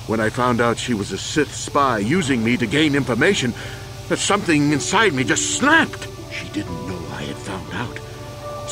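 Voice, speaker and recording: An actor playing a proud, weary old war veteran accused of a crime, earnest and deliberate, in a video game. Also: male voice, medium pitch, poor audio. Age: older.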